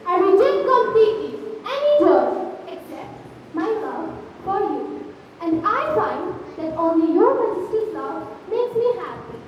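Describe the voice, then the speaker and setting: A young woman playing a courtly, flattering royal daughter in formal declamation in a school stage play. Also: extremely high pitch, a little slow, exaggerated voice. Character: king's married second daughter, courtly and flattering. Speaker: student actor. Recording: dull echoey hall from far off, faint noise.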